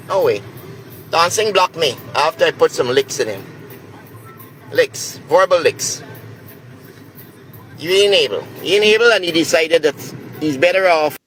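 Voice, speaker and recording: A man's voice: fairly high pitch, lively intonation, normal speed, long pauses, a bit bright, soft background music, poor audio. A man ranting casually, blunt and boastful. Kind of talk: casual rant.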